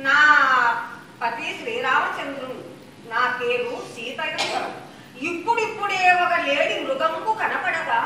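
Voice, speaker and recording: Casting: actress for devoted, righteous young princess in puppet theatre, very high bright voice, formal and imploring. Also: female voice, heavily acted. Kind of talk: traditional puppet theatre declamation. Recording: echoey, distant, faintly noisy live sound.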